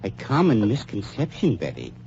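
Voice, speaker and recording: An actor playing a suave, sinister middle-aged funeral director, calm and menacing in 1940s radio acting style. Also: male voice, fairly low pitch, moderate pace, gravelly, background noise, exaggerated voice. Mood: coldly amused. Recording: muffled 1940s radio recording.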